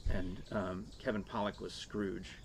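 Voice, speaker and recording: Low-voiced middle-aged American man chatting. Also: level tone, a little slow, gravelly. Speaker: talk show co-host. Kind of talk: casual anecdotal chat. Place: screened porch outdoors. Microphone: shared desktop condenser mic on table. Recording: noisy open-air ambience.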